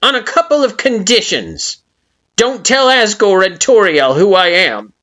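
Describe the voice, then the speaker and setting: A woman voicing a small spiteful male flower creature with a high-pitched, derisive, mocking voice. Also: expressive, unhurried, a bit bright. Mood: grudging. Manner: shrill mocking sing-song, taunting.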